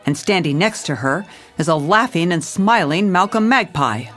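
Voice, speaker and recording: A woman's voice: lowish voice, lively intonation, normal speed, a bit bright, faint music behind. A woman playing a jaded, hard-boiled police commissioner, narrating a noir audio drama in a dry, world-weary, stern way. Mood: tense, wry.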